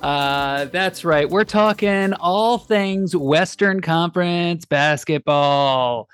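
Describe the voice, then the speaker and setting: A man's voice: a little high, takes it slowly, a bit bright, clear, resonant tone, dry recording, soft background music. A man hosting a casual sports podcast, playful and jokey as he introduces his co-hosts. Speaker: podcast host.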